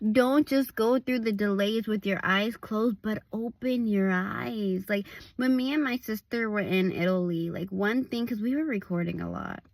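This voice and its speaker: A woman evangelist with a raspy voice, giving a casual devotional talk outdoors, full of "like"s and restarts. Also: mid-range voice, no room sound. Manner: loose and conversational, many "like"s and restarts. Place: outdoors at a park overlook.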